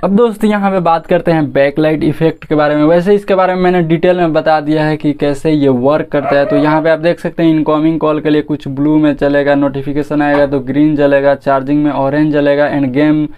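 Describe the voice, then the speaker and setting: Smooth, even-toned young Indian man. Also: a little high, fairly quick, few pauses, phone-quality sound. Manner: informal, addresses viewers directly, mixes in English words. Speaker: tech reviewer and channel host. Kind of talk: casual phone feature walkthrough.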